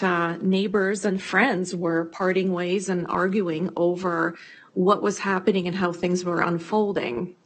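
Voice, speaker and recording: A middle-aged woman, a school board trustee, introducing herself at a board meeting in a measured, reflective way with some hesitations. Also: lowish voice.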